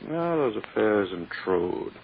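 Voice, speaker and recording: Muffled old radio recording, smug older American man, oily and genteel, deep-ish gravelly voice, insinuating, drawn-out delivery. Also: very animated, heavily acted. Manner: unctuous, genteel, drawn-out phrasing with hesitations. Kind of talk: old-time radio drama acting.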